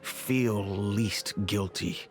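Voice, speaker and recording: An actor playing a regretful, dignified middle-aged merchant father in a video game, speaking in a measured, formal way. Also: on the low side, background noise. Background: background music.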